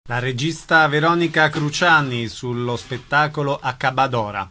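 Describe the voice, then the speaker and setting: A man's voice: medium pitch, moderate pace, bright, forceful tone, a little hiss or hum. A man hosting a radio programme, giving show details and signing off an interview in an announcer's style. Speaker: radio host.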